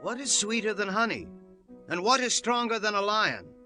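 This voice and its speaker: A male actor plays a pushy Philistine man in a Bible drama. The voice is tense and on the high side, with an insistent, taunting edge, over quiet music. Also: big pitch swings, normal speed, exaggerated voice. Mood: smug. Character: pushy, menacing Philistine townsman of ancient times. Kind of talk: dramatized scripture acting.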